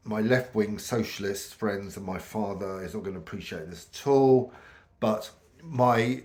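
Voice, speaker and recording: A middle-aged British man talks to camera, his voice croaky from a cold and pitched a little low. He rambles casually, pausing on ums and restarting his sentences. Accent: British English. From United Kingdom. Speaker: vlogger.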